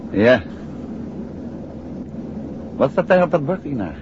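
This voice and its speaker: A man with a Netherlands Dutch accent playing a calm, affectionate gentleman detective and husband in a 1960s radio drama. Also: medium pitch, slow. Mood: easygoing. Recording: muffled, noisy 1960s radio recording.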